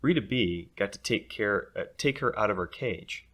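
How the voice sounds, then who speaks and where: A man reading a children's story aloud in an expressive, playful way. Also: fairly low pitch, moderate pace. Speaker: storybook reader.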